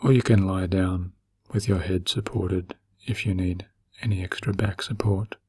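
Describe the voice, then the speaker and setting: A man narrating a guided meditation in a gentle, soothing, slow manner with long pauses and a breathy, husky voice. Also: low voice, fairly even intonation.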